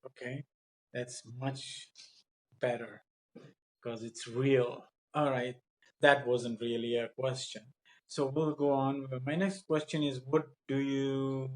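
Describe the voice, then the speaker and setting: A man conducting a spoken English practice interview, asking questions in a deliberate, formal way. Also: medium pitch, darkish tone, low-quality recording. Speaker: English speaking test interviewer.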